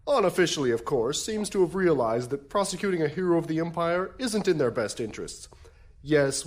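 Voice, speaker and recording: Actor as wry, smooth-talking prosecutor. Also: male voice, on the high side. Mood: smug, affable. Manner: wry, insinuating, theatrical. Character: smooth-talking, wry male crown prosecutor offering deals. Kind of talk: audio drama acting.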